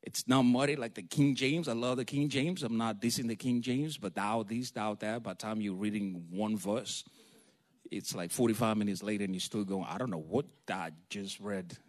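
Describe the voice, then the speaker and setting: A man from Africa, a preacher giving a sermon in a lively, joking, storytelling way and calling for amens. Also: lowish voice, normal speed.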